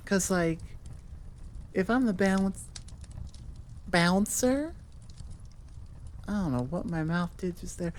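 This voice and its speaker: A woman audio creator talks to her listeners off the cuff. Her voice is on the low side and her pace is very unhurried, rambling informally with frequent self-interruptions. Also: a little hiss or hum. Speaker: audio roleplay creator and host. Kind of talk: casual, improvised chat to listeners.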